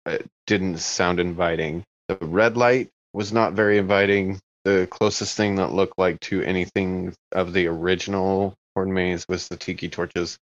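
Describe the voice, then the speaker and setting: Lower-voiced man, casual tabletop player. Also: steady tone, moderate pace, few pauses, no echo, dull audio. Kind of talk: casual improvised game play.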